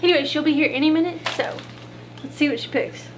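A woman's voice: sits high, quick pace. A young woman co-hosting a casual fishing vlog, giggly and playful, talking to camera outdoors by a lake and then at home. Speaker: fishing vlogger, co-host. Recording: noisy camera audio.

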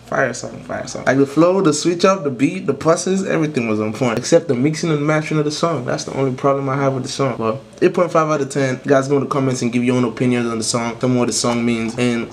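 A man's voice: mid-range voice, steady tone, fast talker, hardly any pauses, a little hiss or hum. A young man in his twenties hosting a casual reaction video, talking in laid-back, profane slang and repeating himself for emphasis. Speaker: music reaction video host. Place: small room at home.

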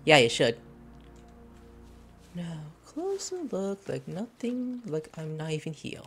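A male gaming streamer who shouts and swears excitedly over his play, his pitch swinging wildly from low mutters to high yells. Faint game music runs underneath him. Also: slow. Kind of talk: game commentary.

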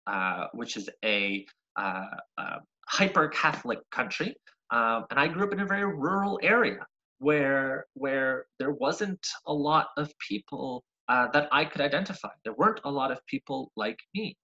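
A young man from Argentina giving an online science seminar over a video call, lively and full of ums and uhs, often restarting words. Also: medium pitch, moderate pace, lo-fi sound. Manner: lively, frequent ums and uhs, self-correcting. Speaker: graduate researcher giving a seminar. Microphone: webcam on a video call.

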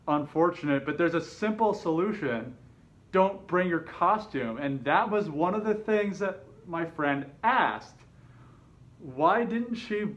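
A man's voice: on the high side, fairly bright tone. A man telling a personal story in a casual, rambling way, hesitant and often correcting himself. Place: open living room with kitchen at home. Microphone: camera mic a short way off, speaker inside a fursuit head. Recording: dull, slightly muffled home camera audio.

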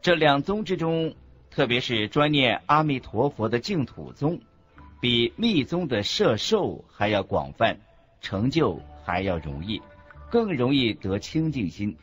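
A man reading scripted religious teaching as a narrator, in a deliberate, instructive manner. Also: medium pitch, sing-song, a bit bright, slightly rough. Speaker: narrator of a Buddhist teaching radio program. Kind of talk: scripted didactic narration in a radio program. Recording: muffled lo-fi audio with music underneath. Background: background music.

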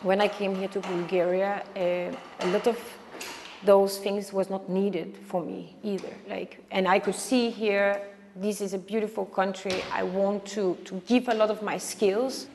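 A young woman with a Danish accent, a circus artist speaking in a reflective personal interview. She talks haltingly and searches for words in English, which is not her first language. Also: medium pitch, little pitch movement, vocal fry, a little mellow, slight background noise. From Denmark. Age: young adult. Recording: reverberant with background music.

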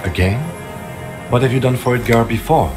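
An actor playing a composed, probing police detective in video game dialogue. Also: male voice, mid-range voice, lightly acted. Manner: measured, probing. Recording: music under the voice.

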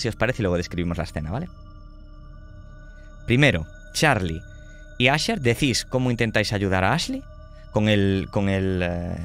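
A man running a tabletop horror game as game master, laying out options in a measured way and checking in often. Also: on the low side, fairly bright tone.

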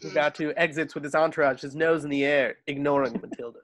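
A man's voice: fairly high pitch, fairly even intonation, fast, fairly bright tone. A man narrating screenplay stage directions expressively in a table read over a video call. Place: indoors at home on a video call. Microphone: video call microphone.

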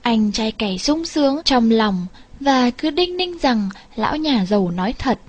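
Female storyteller for scripted folk tales, higher-pitched voice, expressive delivery. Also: unhurried. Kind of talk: scripted fairy tale narration.

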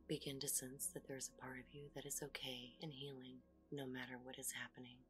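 A woman guiding a meditation in a soft, whispery voice, giving slow, soothing, gentle instructions. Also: rumbling low. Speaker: meditation guide.

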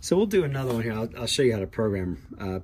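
A man giving a casual how-to demonstration as a hobbyist, talking haltingly and thinking aloud. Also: mid-range voice, big pitch swings, darkish tone. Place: small cluttered workshop indoors. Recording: noticeable background noise with clicking keys.

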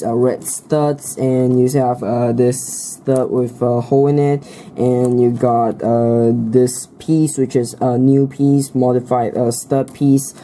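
A man's voice: mid-range voice, dark tone, slight background noise. A man reviewing a toy set in a casual, hesitant way, filling his talk with fillers and restarts, recorded at a tabletop indoors.

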